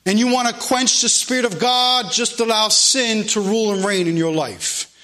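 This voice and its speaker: A man's voice: high-pitched, normal speed, pushed and bright. A man with an American accent preaching a fervent sermon, emphatic and exhorting, repeating phrases to drive them home. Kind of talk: fervent sermon with scripture readings.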